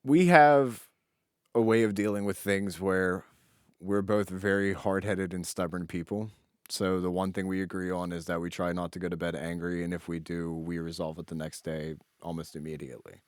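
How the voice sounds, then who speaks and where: Podcast co-host, young male, deep voice, dry close recording, informal slangy banter. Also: fairly even intonation, normal speed, no echo. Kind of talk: casual banter and reminiscing.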